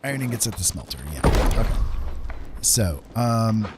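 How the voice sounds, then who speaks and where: A male game streamer with a fairly low voice talks casually through his play, half to himself. There is clear background noise on the recording. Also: big pitch swings, moderate pace. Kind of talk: casual live gameplay commentary.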